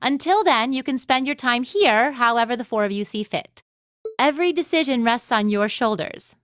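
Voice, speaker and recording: A woman's voice: a little high, lively intonation, moderate pace, dry recording, clean studio sound, natural voice. A woman voicing a laid-back, teasing female instructor in a video game, with a breezy, nonchalant drawl. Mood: breezy, assured. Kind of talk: English video game voice acting.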